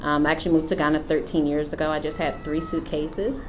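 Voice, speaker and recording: Low-pitched middle-aged woman from the US, reflective founder testimony, faint hum behind. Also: flat delivery, low-quality recording. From United States. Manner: unhurried, thoughtful, many filler pauses. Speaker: company founder and CEO.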